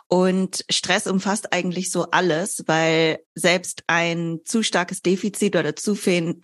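A woman's voice: fairly low pitch, a bit bright. A woman in her twenties, a fitness coach, explaining things conversationally as an interview guest. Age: 20s.

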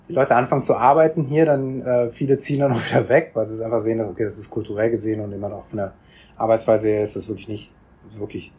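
A man from Germany, a podcast guest, telling his story in a relaxed, reflective way with many restarts and repeated words. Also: lowish voice, fast talker, slightly rough. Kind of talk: casual conversational storytelling.

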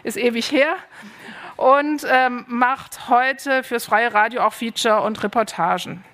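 A woman's voice: on the high side, takes it slowly, a bit bright. A woman moderating a live panel on stage, friendly and a little hesitant as she introduces her guests. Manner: friendly, lightly hesitant, with fillers.

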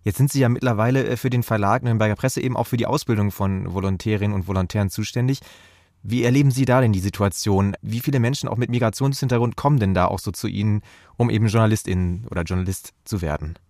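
Fast-talking, creaky male interviewer. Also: lowish voice, darkish tone, no room sound, clean studio sound. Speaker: podcast interviewer. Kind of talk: conversational interviewing.

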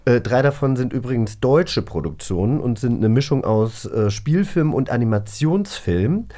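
A man co-hosting a magazine show in casual chat, jokey and quick to interject. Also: medium pitch, expressive, warm tone. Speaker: podcast co-host.

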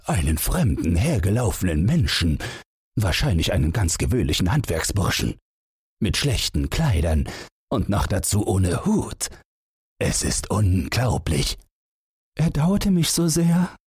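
A man's voice: deep voice, sing-song, a little slow, husky, dry recording, clean studio sound, heavily acted. A man voicing an indignant, blustering old king in a fairy tale audiobook, imperious and scornful. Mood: outraged.

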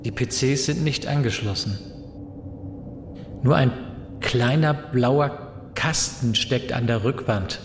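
A man playing a level-headed professor in a German science-fiction audio drama, speaking in a measured, analytical way. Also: medium pitch. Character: level-headed male professor exploring an alien mystery. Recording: echoey hall reverb with noise.

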